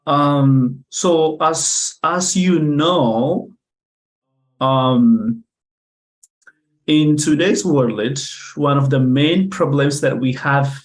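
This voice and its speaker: Slow, halting young man from Ethiopia. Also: fairly high pitch, poor audio. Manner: hesitant, many fillers and restarts. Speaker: conference speaker. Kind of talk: academic presentation. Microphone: video call.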